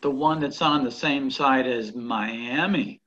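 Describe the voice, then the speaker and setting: A man's voice: mid-range voice, slow, a bit bright, a little hiss or hum. An actor playing a fussy buddy who nitpicks and stammers over his corrections, heard through muffled call audio.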